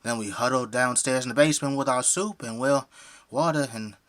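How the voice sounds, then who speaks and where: A man's voice: medium pitch, brisk, fairly bright tone, natural voice. An actor playing a friendly, folksy middle-aged family man with an American Southern accent in an audio drama. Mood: storytelling, easygoing. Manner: effusive, folksy, hospitable.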